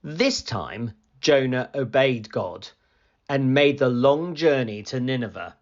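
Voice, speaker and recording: Storybook reader, male, slow, animated read-aloud delivery, muffled lo-fi recording. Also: mid-range voice. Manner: slow, expressive storytelling, rising for excitement. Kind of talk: children's picture book read-aloud.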